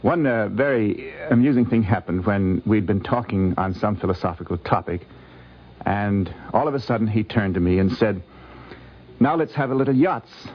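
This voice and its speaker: A man giving a conversational, anecdotal recollection in a measured, articulate, gently humorous way. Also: fairly low pitch. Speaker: interviewee recalling a visit. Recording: dull vintage 1950s radio recording.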